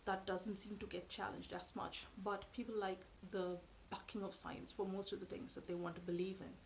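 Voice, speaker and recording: A woman in her thirties whose first language is Tamil, talking to camera from a sofa in her living room in a measured, thoughtful way with frequent pauses. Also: mid-range voice, level tone, gravelly, a little hiss or hum. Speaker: vlogger. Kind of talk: explanatory talk to camera. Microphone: camera in front at close range.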